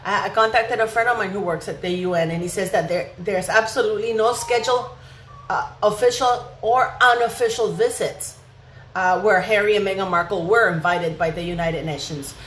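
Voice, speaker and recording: A woman's voice: mid-range voice, moderate pace, a bit bright. A woman hosting an opinionated gossip commentary vlog, speaking emphatically and indignantly with rhetorical questions. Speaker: vlog host. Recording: echoey room with faint background noise.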